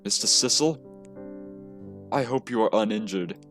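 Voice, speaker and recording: A man's voice: lowish voice, moderate pace, fairly bright tone, soft background music, natural voice. A man voicing an elderly lady teacher in a visual novel, composed, stern and formal. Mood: formal, concerned. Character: fragile but commanding elderly lady teacher.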